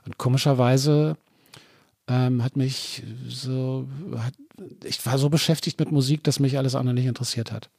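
A man's voice: mid-range voice, steady tone, moderate pace, darkish tone, dry recording, clean studio sound. A middle-aged man guesting on a podcast, talking in a reflective way and thinking aloud with many restarts. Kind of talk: casual conversation. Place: living room.

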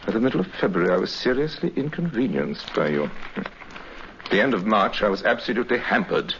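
Deep-voiced, courtly English criminal mastermind. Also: male voice, animated, fairly bright tone. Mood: aggrieved, threatening. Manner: formal, unctuous, deliberate. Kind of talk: 1950s radio drama acting. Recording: muffled, faintly hissy 1950s radio recording.

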